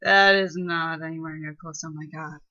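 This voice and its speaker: A woman in casual commentary mode, with a bright, forceful voice on a slightly muffled recording. She is blunt, swears freely and corrects details like a fan who knows them well. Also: sits low, fairly even intonation, normal speed. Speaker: co-commentator on a fan fiction reading. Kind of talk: casual chat, reacting and correcting.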